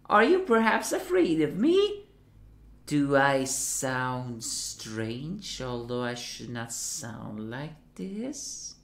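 A woman voicing a nervous, jittery spawn of death who swings between chirpy greetings and hushed lines. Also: very deep voice, very animated, drawn-out words, echoey room, heavily acted.

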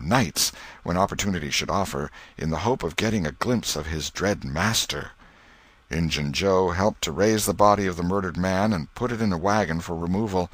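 A man narrating an audiobook in an expressive, literary storytelling style with a dramatic delivery. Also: low voice, no room sound.

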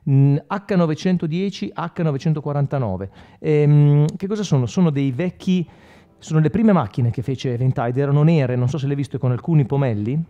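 A man's voice: fairly high pitch, moderate pace. A man, explaining things informally in a conversational tutorial manner, often checking in with the listener. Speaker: guitar instructor and product demonstrator. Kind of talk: informal video tutorial, explaining between guitar demos. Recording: dull lo-fi sound, loud guitar playing. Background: loud electric guitar with effects.

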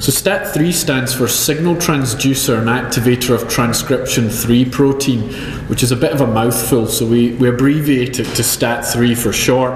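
A man's voice: mid-range voice, normal speed. A Scottish man delivers a science lecture in a mid-range voice, dropping in dry jokes at his own expense between frequent ums. His talk rings through a roomy hall with a long echo, and a faint hum of noise sits underneath. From Scotland. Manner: dry self-deprecating humor, many ums. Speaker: chemistry professor. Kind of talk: conference talk.

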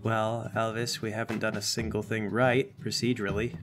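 A man playing a tabletop game, trading casual comic banter in a deadpan way. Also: fairly low pitch, normal speed, faint music behind.

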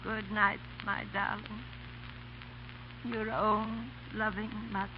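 A woman's voice: medium pitch, little pitch movement, takes it slowly, close to an everyday voice. Hummy vintage radio drama, dull sound, woman acting as poor old female peddler, emotional, plaintive, theatrical. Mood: tender, faltering. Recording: muffled, noisy 1930s radio recording with hum.

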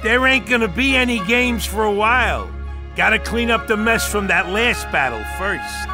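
A man playing a gruff, grumpy little middle-aged satyr who trains heroes, blustery and streetwise, in a cartoonish video game. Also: high-pitched, expressive, normal speed, music in the background. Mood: grumpy dismissive. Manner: blustery, streetwise, mock-pompous when proclaiming.

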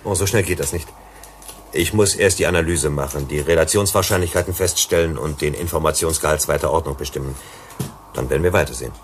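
A low-pitched, thoughtful man, an analyst in a science fiction radio play. He talks in a measured, reserved way over clear background noise. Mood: calm, businesslike. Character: calm, pensive male analyst investigating a labor dispute. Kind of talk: German radio play acting.

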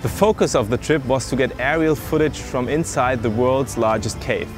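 A man calmly explaining the technical challenges of flying drones, in documentary narration. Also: medium pitch, moderate pace. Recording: music bed under voice.

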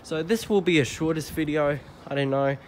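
Young male train vlogger, slightly high voice, casual and halting, outdoor camera mic with faint noise. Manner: chatty, hesitant, addresses viewers as guys. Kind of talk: casual vlog narration. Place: outdoor railway platform. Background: faint outdoor ambience.

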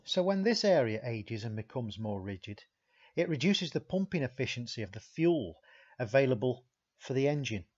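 A man, a repair instructor, giving a steady, methodical voice-over explanation of a technical animation and repeating key points. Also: medium pitch, moderate pace, breathy edge, dull audio.

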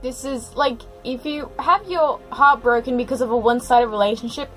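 A woman giving spontaneous reaction commentary, excitable and full of exclamations. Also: fairly high pitch, moderate pace, soft background music, rough recording.

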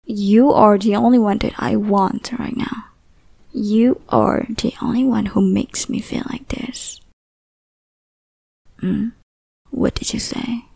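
A young woman voicing an obsessive, possessive lover in an ASMR roleplay, whispering softly with teasing menace. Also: mid-range voice, steady tone, takes it slowly, pauses often, dry recording, exaggerated voice. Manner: soft breathy whispering, teasing and threatening.

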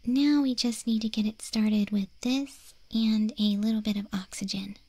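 A woman voicing a caring, teasing young wolf girl in an ASMR roleplay, speaking in a soft, gentle half-whisper close to the mic. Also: mid-range voice, steady tone, moderate pace, dry recording, natural voice. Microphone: close, dry studio microphone.

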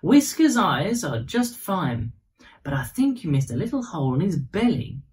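A man's voice: a little high, very animated, normal speed, darkish tone. A man voicing a kindly, reassuring woman eye doctor in a storybook. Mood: gentle, reassuring.

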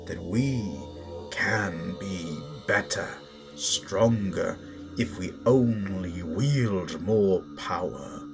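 A low, very slow male voice acted by a man of a menacing Sith master in an audio roleplay. He instructs with deliberate, controlled authority, often sinking into a breathy near-whisper. Music plays clearly behind him. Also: heavily acted. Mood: stern, commanding. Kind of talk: slow guided-meditation roleplay, breathy dramatic monologue. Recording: voice over loud background music.

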